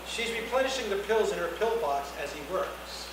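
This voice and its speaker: A man's voice: high-pitched, animated, normal speed. A man reading screenplay action lines aloud as the narrator at a scene reading. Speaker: narrator of stage directions. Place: indoor reading before an audience, far mic. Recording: very echoey room, distant mic, noisy.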